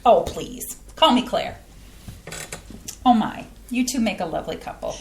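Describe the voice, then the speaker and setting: A woman's voice: mid-range voice, lively intonation, unhurried, gravelly, slight background noise. A woman in a staged play reading playing a churchgoing middle-aged mother with a soothing, folksy way of talking. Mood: welcoming. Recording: roomy playhouse stage sound.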